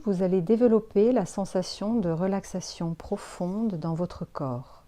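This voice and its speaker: A woman leading a guided yoga relaxation as an instructor, giving calm, soothing instructions with pauses. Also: lowish voice.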